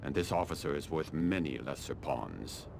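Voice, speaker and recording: A stern, calculating army colonel from China for a video game. His voice is extremely deep with a gravelly creak. He speaks formally and deliberately, with haughty authority, over clear rumbling noise. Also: lively intonation, exaggerated voice. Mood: measured, imperious. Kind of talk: scripted video game dialogue.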